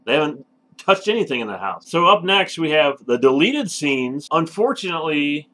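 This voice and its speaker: A man's voice: on the high side, big pitch swings, normal speed, bright, forceful tone, poor audio. A man co-hosting a casual home-video review show, talking with emphatic enthusiasm. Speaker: movie review show host.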